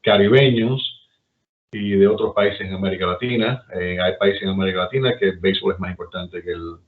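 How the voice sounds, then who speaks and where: Middle-aged Cuban man, Cuban accent, low voice over a tinny phone line, rambling storyteller. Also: fairly even intonation, brisk. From Cuba. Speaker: guest. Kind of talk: casual chat.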